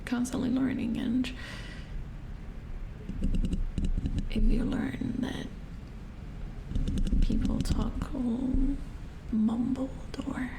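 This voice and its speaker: A woman playing a calm, amused robot repair engineer in an ASMR roleplay, whispering breathily as she patiently explains in halting, musing phrases. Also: on the high side, creaky, rough recording. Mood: musing, explanatory. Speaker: ASMR performer. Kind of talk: soft-spoken ASMR roleplay.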